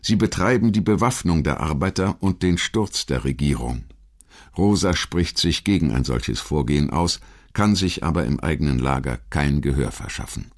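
Really low bass guy narrating a history doc, measured and kinda dramatic. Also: lively intonation. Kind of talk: scripted documentary narration.

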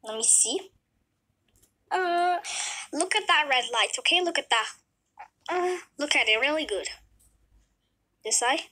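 A woman voicing a calm, soothing doctor in a homemade comedy skit, speaking slowly and hesitantly. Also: high-pitched, heavily acted. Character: low-voiced calm doctor, adult.

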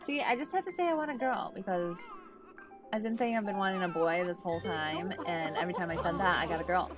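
A congested woman in her thirties chats her way through a life-simulation game from a headset at home. Her voice sits a little low, and she rambles on and thinks aloud. Game music plays under her. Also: fast talker. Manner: chatty, thinks aloud, sniffly from allergies. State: stuffed-up nose. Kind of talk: casual gameplay commentary. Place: home room at a desk.

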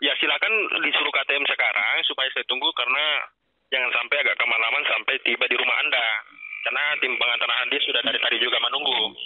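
A man's voice: medium pitch, steady tone, fast talker. A man on the phone, speaking in a businesslike, pressing way. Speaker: phone prize scammer. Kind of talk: phone conversation. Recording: dull phone line, voices behind.

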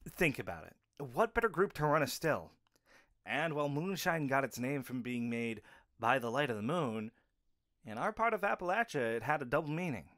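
A man's voice: medium pitch, very animated, normal speed, fairly bright tone, no room sound, studio quality. A man playing an easygoing, wry young werewolf in an audio drama, with a casual, conversational delivery. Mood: wry, informative. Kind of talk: audio roleplay drama acting.

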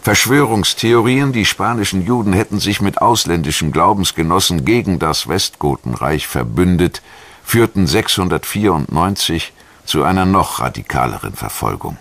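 A man reading scripted historical documentary narration in a measured, solemn and formal manner. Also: sits low, animated, fairly bright tone. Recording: music behind the voice. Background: background music.

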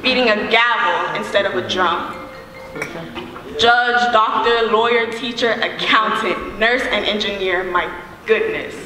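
A young woman performing spoken word poetry on a theater stage, declaiming emphatically with deliberate repetition. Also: medium pitch. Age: young adult. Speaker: student spoken word poet. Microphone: microphone on a stand. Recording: very echoey hall, distant, faint noise.

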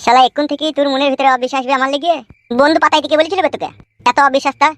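A man's voice: extremely high pitch, fairly even intonation, very fast, pushed and bright, no room sound. A man voicing a hot-headed, foul-mouthed young village man in a comic cartoon, in slangy rural West Bengal dialect. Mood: indignant, hurt. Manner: brash, slangy bickering.

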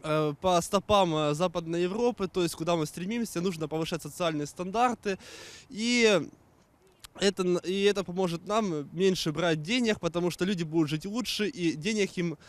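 A man from Donetsk answers a street interviewer out on a city square. His voice is quite high, and he speaks earnestly. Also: moderate pace. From Donetsk, Ukraine. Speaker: passerby. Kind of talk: street vox pop.

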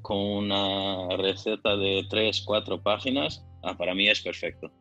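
A man with a Portuguese accent, a native Portuguese speaker, talks casually and gropes for words, often repeating himself. His voice is forceful and bright, on a dull lo-fi recording with music behind it. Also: fairly low pitch, fairly even intonation. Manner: halting, searches for words, repeats phrases. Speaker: podcast guest. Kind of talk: casual conversation.

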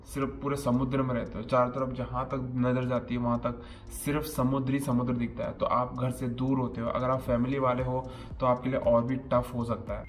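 A man in his thirties with a cold, giving chef career advice in a casual vlog, seated in a small indoor room. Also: medium pitch. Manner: earnest, explains step by step, mixes in English phrases. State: has a cold. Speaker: chef vlogger.

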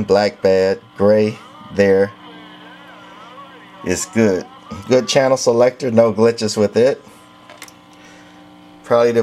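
A man's voice: on the low side, dark tone, a little hiss or hum. A man casually demonstrating a vintage radio in his workshop, matter-of-fact and repeating test words into the mic. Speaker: radio collector demonstrating equipment. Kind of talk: casual hands-on demo narration.

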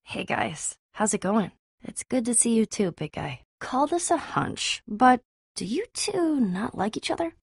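A woman voicing a tough, guarded but loyal young female adventurer in an animated adventure game, husky and blunt, with a casual, earnest delivery. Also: a little high, a little slow, no echo, clean studio sound, exaggerated voice. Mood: relieved, friendly. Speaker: game voice actress.

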